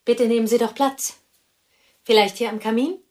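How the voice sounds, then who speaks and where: An actress playing a reserved piano teacher from a remote village in a radio drama, terse and dryly ironic. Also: female voice, a little high, steady tone, normal speed, darkish tone, natural voice. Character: reserved provincial female piano teacher, guarded and dry.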